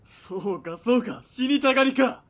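An actor playing a sadistic, menacing military police villain in a radio drama, sneering and mocking, from quiet threats to barked orders. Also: male voice, very high-pitched, lively intonation, normal speed. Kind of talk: Japanese radio drama acting.

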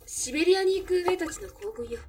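A woman's voice: very high-pitched, animated, brisk, darkish tone, far from the mic. A woman playing a wistful, reflective cafe owner remembering a lost love in a Japanese audio drama. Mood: wistful, nostalgic. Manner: reflective, feminine, emotive storytelling.

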